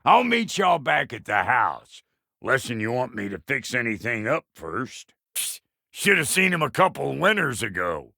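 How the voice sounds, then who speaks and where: Gravelly, wildly swooping Southern dwarf blacksmith. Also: male voice, medium pitch, big pitch swings, measured pace, sharp tone, no echo, studio quality, heavily acted. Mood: brash, bantering. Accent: Southern American. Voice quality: gravelly, rough-edged. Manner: folksy, blunt, crude. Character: gruff foul-mouthed dwarf blacksmith, cantankerous but good-hearted. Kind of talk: video game character acting.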